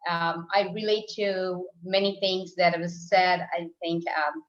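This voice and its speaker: Businesswoman from New York, friendly self-intro, lower voice, lo-fi roomy call. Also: level tone, unhurried. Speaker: business owner. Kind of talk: introducing herself in a meeting.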